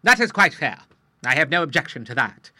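A man voicing a hot-tempered retired admiral of sixty-three, speaking loudly and with affront. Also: on the high side, sing-song, a little fast, no echo, pitched above the performer's own voice, exaggerated voice. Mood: indignant. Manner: loud, blustering and affronted.

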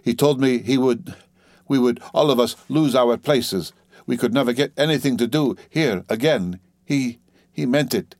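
A man voicing a desperate, ashamed young wife in an audiobook, pleading and halting as the words come out. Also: medium pitch, a little mellow, dry recording, clean studio sound, lightly acted. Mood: quiet, ashamed. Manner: wailing, halting, gasping, repeating pleas. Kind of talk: dramatic audiobook character reading.

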